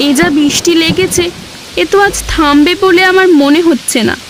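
A woman playing a gentle, anxious, ailing village wife and mother in a Bengali cartoon story. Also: very high-pitched, steady tone, moderate pace, background noise.

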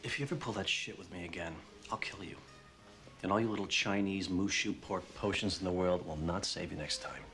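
A man's voice: deep voice, a little fast, fairly bright tone, soft background music. An American actor in his thirties playing a hotheaded, sneering henchman in a film, blustering and threatening. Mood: vengeful. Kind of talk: film acting.

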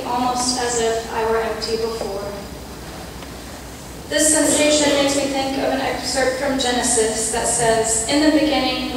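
A young woman with a fairly high voice gives a prepared reflection from a church pulpit. She sounds earnest and measured, with a few self-deprecating asides. The long reverb of a big sanctuary and a steady room hum surround her. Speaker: youth speaker. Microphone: pulpit mic, camera far off. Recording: echoey church hall from far off.

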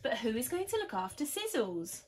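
A woman's voice: on the high side, sing-song, moderate pace, husky, voice pushed up. A woman voicing a firm, cautious boy who owns a dog in a storybook, with an emphatic, instructing manner. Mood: doubtful.

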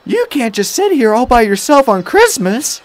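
Man putting on a high, gentle young woman. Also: sing-song, unhurried, no room sound. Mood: surprised. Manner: soft, kindly, earnest. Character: kind, gentle young woman with consoling warmth.

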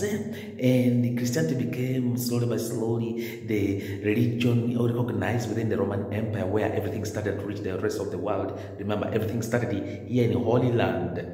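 A man's voice: mid-range voice, dark tone. A middle-aged man giving an earnest homily to a phone held at arm's length, repeating his phrases, in a stone chapel. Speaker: priest. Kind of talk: video homily. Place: stone-walled chapel indoors. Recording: echoey chapel with long reverb.

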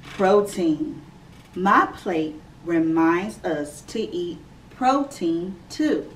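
A woman in her thirties, a preschool teacher, reading a picture book aloud to young children in a slow, clear, sing-song storytime manner from her classroom. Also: on the low side, expressive. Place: classroom indoors. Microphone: camera a few feet away. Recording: slightly distant camera mic with faint room noise.

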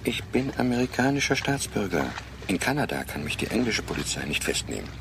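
Radio drama: blustering fugitive doctor, low and gravelly, irritable and sarcastic. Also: male voice, big pitch swings, fairly quick, background noise. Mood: sardonic, tense. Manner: sarcastic, dismissive. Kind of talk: German radio drama acting.

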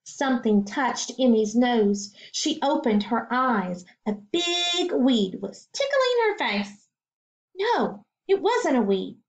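Slow, expressive woman reading a storybook. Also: a little high. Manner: slow, expressive, asks the listener questions. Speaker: teacher reading aloud. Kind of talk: children's storybook read-aloud. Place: home living room. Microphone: webcam screen recording. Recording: dull lo-fi webcam audio.

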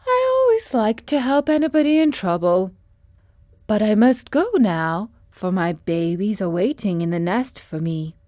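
A woman voicing a kindly, helpful mother stork in a storybook, with a sharp, partly whispered delivery. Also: medium pitch, big pitch swings, unhurried, dry recording, studio quality. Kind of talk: storybook character voice.

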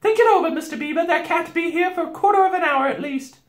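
A performer voicing a motherly, unflappable female beaver from a storybook, brisk and homely, in a roomy space. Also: extremely high pitch, voice pushed up. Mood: brisk, cool.

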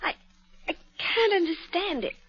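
A woman's voice: very high voice, expressive, unhurried, fairly bright tone, full character voice. An actress playing a distraught young woman who uncovers a murderer in a 1940s radio drama. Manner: emotional, urgent, breathless pleas. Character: distraught young woman, heiress accusing killer. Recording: dull old radio with music.

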